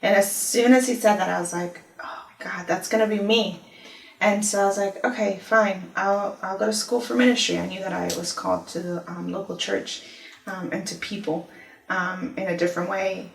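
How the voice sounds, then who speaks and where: A woman in her thirties from Ecuador, a university office director, telling her life story in a relaxed interview with frequent pauses. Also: mid-range voice, creaky. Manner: thoughtful, many "um" pauses, storytelling. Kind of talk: conversational testimony interview. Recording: echoey room, mic at a distance.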